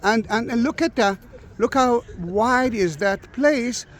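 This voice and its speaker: A man's voice: extremely high pitch, unhurried, fairly bright tone. A man, chatting playfully and enthusiastically as a tour guide and host on site. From Israel. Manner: enthusiastic, playful, interjects often. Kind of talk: casual on-site tour chat.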